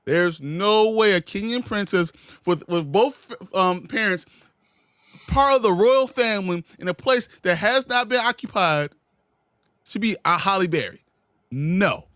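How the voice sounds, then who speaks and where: A man co-hosting a casual chat show, ranting with animated, heated energy. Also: high-pitched, measured pace, no echo, studio quality.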